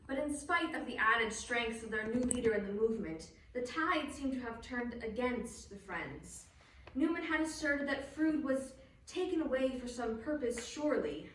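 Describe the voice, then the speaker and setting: Echoey female narrator, formal and measured. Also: fairly high pitch, lively intonation, a bit bright. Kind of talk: scripted historical narration for a stage reading. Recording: distant, very echoey, faint background noise.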